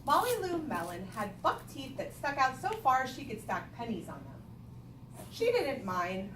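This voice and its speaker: Animated, high-voiced woman teaching in echoey room. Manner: instructional, questioning, repeating children's answers. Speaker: elementary school teacher. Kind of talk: read-aloud lesson to young children with questions. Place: classroom with young children. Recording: echoey room, distant mic, noisy background.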